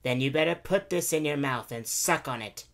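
A man voicing a calm, purring female cat conductress in a storybook reading. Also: on the high side, normal speed, vocal fry. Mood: soothing.

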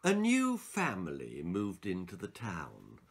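A man in his sixties reading a children's story aloud in an expressive, theatrical and emphatic way. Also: sits low, takes it slowly, creaky, slight background noise. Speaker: author reading his own children's stories. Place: small book-lined room indoors.

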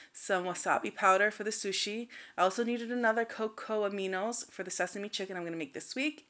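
A woman's voice: medium pitch, a bit bright. A woman in her thirties talking casually and straightforwardly as a vlogger, recorded indoors at home. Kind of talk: casual grocery haul vlog.

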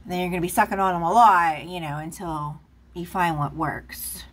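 A woman's voice: lowish voice, normal speed, a bit bright. A woman giving a casual, rambling tarot reading, chatting with lots of fillers while recorded off camera at a table indoors. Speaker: tarot reader.

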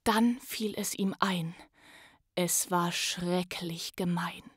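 Casting: female storyteller, dramatic and unhurried, bright tone, dry close sound. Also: lowish voice, steady tone, slightly rough, dry recording. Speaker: actress and voice artist, guest reader. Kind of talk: expressive storybook narration.

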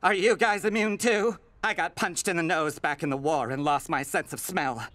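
Man voicing a hapless unlucky cartoon wolf, high voice, gloomy, rambling. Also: moderate pace, a bit bright, dry recording, natural voice. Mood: glum.

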